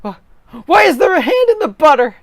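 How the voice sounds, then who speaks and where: A man voicing an earnest, easily startled young farm boy hero in a storybook reading, excitable and exclaiming. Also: very high voice, fairly quick, vocal fry, no room sound, pitched above the performer's own voice, exaggerated voice.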